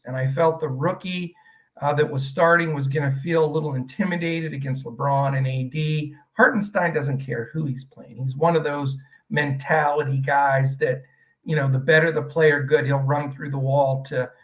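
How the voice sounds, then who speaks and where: Middle-aged guy from western pa doing a fantasy sports podcast, slightly high voice, rambly and casual, lots of ums and restarts. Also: fairly bright tone, rough recording. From Western Pennsylvania. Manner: rambling, conversational, frequent fillers and restarts.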